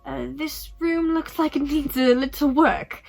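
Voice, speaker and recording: A woman's voice: high-pitched, steady tone, a little slow, vocal fry, soft background music, pitched above the performer's own voice. A woman voicing a stern, proper male banker who looks after the children. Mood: awkward. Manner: formal and stern, with coughing.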